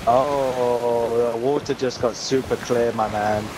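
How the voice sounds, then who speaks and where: A man's voice: medium pitch, fairly even intonation, slow pace. A man in casual, joking banter with friends while playing a video game. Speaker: player in a co-op pirate game. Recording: noisy watery game ambience behind voice.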